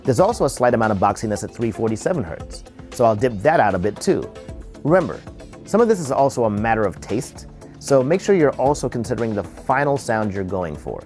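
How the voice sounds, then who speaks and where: A man narrates an instructional tutorial as its presenter, explaining things step by step. His mid-pitched voice moves with lively intonation. Faint music sits behind him. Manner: explanatory, measured step-by-step delivery.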